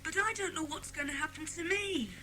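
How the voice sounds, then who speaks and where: A woman's voice: very high voice, a little fast. A woman with a British accent playing an earnest, grateful young boy in a children's audio drama. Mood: worried.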